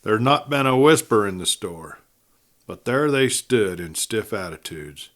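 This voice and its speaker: A man's voice: on the low side, animated, unhurried. Man narrating a western, folksy storyteller. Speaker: audiobook narrator and host.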